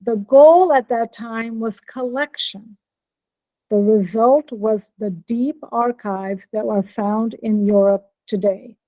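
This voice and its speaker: A woman's voice: on the high side, slow pace, mellow, no echo, low-quality recording. A woman with an American accent, a professor giving a friendly keynote talk over a video call and checking in with her listeners. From born in Norway, raised in Brazil. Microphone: computer mic on video call.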